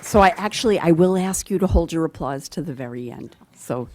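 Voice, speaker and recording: This woman has a somewhat low voice. She moderates a public forum in a friendly, hesitant way and often restarts her sentences. She speaks close to a table microphone. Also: animated, fairly quick, crowd noise, noisy background. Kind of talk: announcing procedures at a public forum. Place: public candidates forum in a meeting hall.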